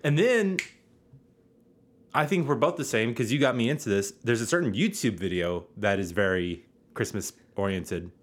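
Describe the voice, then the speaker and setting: A man's voice: lowish voice, expressive, moderate pace. A young man co-hosting a podcast in casual chat, sarcastic and joking, swearing freely. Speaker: podcast co-host.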